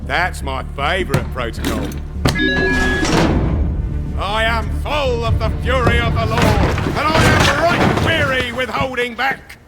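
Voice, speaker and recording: An actor playing a deferential, eagerly officious security underling in a video game. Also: male voice, extremely high pitch, animated, slow pace, over loud music, full character voice. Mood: grandly menacing. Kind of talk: video game character acting.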